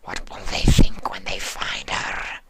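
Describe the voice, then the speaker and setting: A narrator voicing a guilt-ridden changeling creature in a slow, halting, breathy whisper, hushed and pleading, for a fan fiction story. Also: natural voice.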